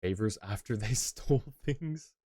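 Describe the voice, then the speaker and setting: Low-voiced chatty male game streamer. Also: expressive, a little slow, dark tone, no room sound, clean studio sound. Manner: rambling, chatty, laughs often. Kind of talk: casual gameplay chat.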